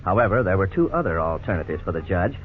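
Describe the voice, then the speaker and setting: A legal expert in a 1950s radio play, laying out a case in a calm, measured way. His voice is very deep, and the sound is thin and tinny with a faint hiss. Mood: explaining. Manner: measured, explanatory. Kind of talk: 1950s radio drama acting.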